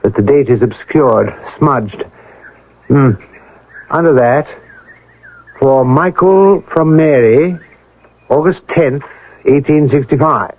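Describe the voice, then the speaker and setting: An actor playing a shrewd, methodical police inspector in a radio mystery drama, deliberate and musing, with polite authority. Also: male voice, mid-range voice. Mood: inquisitive, musing. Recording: lo-fi old radio broadcast recording.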